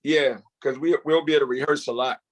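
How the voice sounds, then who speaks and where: A man's voice: on the high side, fairly even intonation, fast, poor audio. A man chatting casually on a video call, very colloquial and often checking that the listener follows him. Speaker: table read participant. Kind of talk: casual meeting chat.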